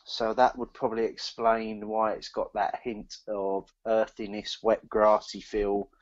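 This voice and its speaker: Halting man, slightly dull lo-fi sound. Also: on the low side, flat delivery. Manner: halting, repeats words as he thinks aloud. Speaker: podcast co-host. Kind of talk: casual chat, beer review.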